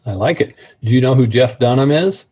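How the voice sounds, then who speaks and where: A man's voice: mid-range voice, animated, fairly quick, warm tone, breathy edge. A man from Missouri with a slight Missouri accent, chatting in a friendly, easygoing way as an actor being interviewed. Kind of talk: casual interview chat. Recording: dull lo-fi sound.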